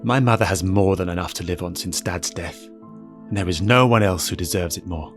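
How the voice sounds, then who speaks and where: A man's voice: low voice, brisk, faint music behind, close to an everyday voice. A man playing a bitter, brooding, wealthy fallen superhero with a sneering, curt manner in an audio drama. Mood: cold resentment.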